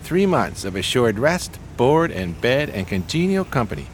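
Male actor as grandiose gentleman tramp, flowery, courteous, lively intonation, medium pitch, 1920s radio play. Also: normal speed, slight background noise, lightly acted. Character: pompous, genteel homeless schemer of 1920s New York.

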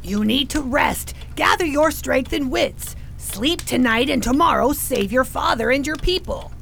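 A woman playing a protective, no-nonsense guardian in a radio play, brisk and scolding. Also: sits high, expressive, vocal fry, fairly bright tone, a little hiss or hum, heavily acted. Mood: firm, caring. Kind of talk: radio theater acting.

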